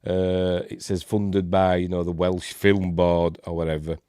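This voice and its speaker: A man's voice: deep voice, little pitch movement, a little slow, warm tone, clear, resonant tone, no echo, studio quality. A man with a Yorkshire accent hosting a rambling live-stream film review, congested and snuffly from a cold. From Yorkshire, England. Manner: rambling, hesitant, many fillers. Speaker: host.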